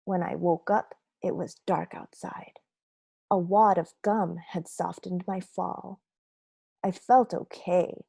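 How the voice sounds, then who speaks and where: A woman voicing a grumpy, remorseful sunflower seed telling his own story in a children's picture book read-aloud, in a dramatic, deliberate, confessional manner. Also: fairly low pitch, vocal fry, dark tone, husky, no echo, exaggerated voice. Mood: gloomy, brooding.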